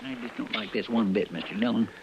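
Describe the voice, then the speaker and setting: A man's voice: fairly low pitch, fast talker, lightly acted. A man playing a loyal, anxious deputy sidekick with a folksy rural American accent, deferential and fretful, in a 1950s radio western. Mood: uneasy. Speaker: supporting radio actor. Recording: muffled, noisy old radio recording.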